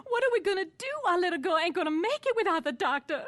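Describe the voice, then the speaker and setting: A poor hill-country mother. Her voice is extremely high and distraught, close to tears, recorded dry in radio drama style. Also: lively intonation, fast, a bit bright, no echo. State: distressed, tearful. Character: anguished poor backwoods mother.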